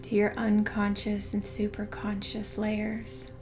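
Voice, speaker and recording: Lady doing guided meditation, super slow n soothing, music playing behind her. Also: medium pitch, monotone. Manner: soothing, unhurried, hushed in places. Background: background music.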